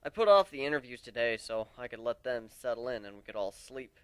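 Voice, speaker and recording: A man reads a fantasy story aloud as its narrator, with a very animated pitch. His delivery is conversational and dramatic, recorded close and dry. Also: a little fast, clean tone, no room sound. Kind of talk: dramatic first-person story reading.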